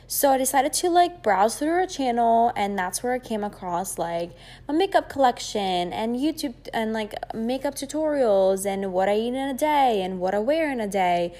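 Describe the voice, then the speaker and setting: A woman's voice: a little high, expressive, moderate pace, dark tone. A woman in her twenties from Greece, hosting a podcast and chatting casually on her own, with lots of fillers and rambling asides. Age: 20s. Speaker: podcast host. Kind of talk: casual solo podcast chat.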